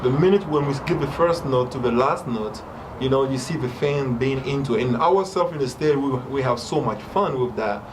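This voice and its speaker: A man's voice: mid-range voice, normal speed, noisy background. A man whose first language is French, a musician chatting in an interview with a noticeable accent. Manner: speaks with an accent, breaks into song. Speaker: musician guest. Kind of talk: casual interview with sung excerpts. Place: outdoor concert venue.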